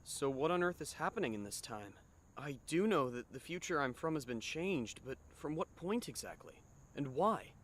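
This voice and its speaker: An actor playing a puzzled high school boy in an anime fan dub, asking hesitant questions. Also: lively intonation, normal speed, lightly acted. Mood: confused, probing. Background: background music.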